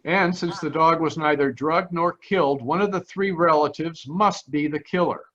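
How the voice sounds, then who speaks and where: A man narrating an old-time radio mystery as the detective himself, in a measured voice a touch higher than most. The sound is slightly dull, as from a home microphone on a video call. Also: fairly bright tone. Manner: measured first-person storytelling. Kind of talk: 1940s radio drama narration.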